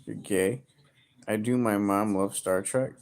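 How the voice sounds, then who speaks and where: A man in his early thirties livestreaming, reading chat aloud and joking crudely, with sudden loud outbursts. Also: on the low side, steady tone, measured pace. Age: 30s.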